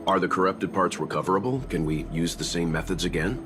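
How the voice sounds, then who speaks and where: An actor voicing a calm, reserved young man who speaks in a level, matter-of-fact and dry way, in a video game dub. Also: deep voice, quick pace, lightly acted. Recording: game cutscene with music behind.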